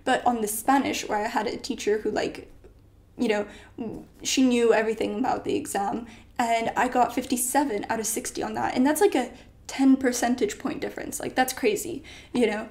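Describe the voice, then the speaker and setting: A woman's voice: fairly high pitch, fairly even intonation, moderate pace, darkish tone. Chatty young woman giving exam tips. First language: Polish. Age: late teens. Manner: chatty, lots of "like" and "you know". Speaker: study vlogger. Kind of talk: casual advice vlog. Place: bedroom at home.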